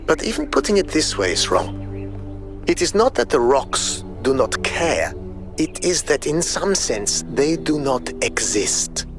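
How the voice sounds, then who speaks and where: A man playing a grave, philosophical robot explorer who scorns nature, delivering a measured, eloquent game audio log monologue. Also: medium pitch. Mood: solemn wonder.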